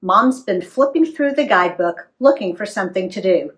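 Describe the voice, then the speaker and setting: A woman reading a story aloud for young listeners in a dramatic, emphatic, clearly phrased way. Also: mid-range voice, normal speed. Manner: dramatic, animated delivery with emphatic dialogue.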